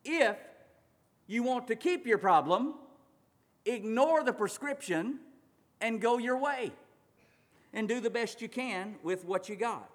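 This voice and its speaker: A man preaching a sermon, swinging between loud urgent passages and quiet slow ones, drawing out words for emphasis. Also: extremely high pitch, lively intonation. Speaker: pastor. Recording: long reverb of a large hall.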